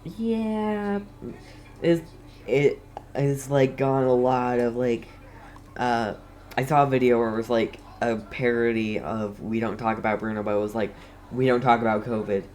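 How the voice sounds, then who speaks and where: A man giving casual game commentary in a halting, rambling way. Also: mid-range voice, darkish tone. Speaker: gamer playing through a story quest. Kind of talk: casual game commentary, reading dialogue aloud.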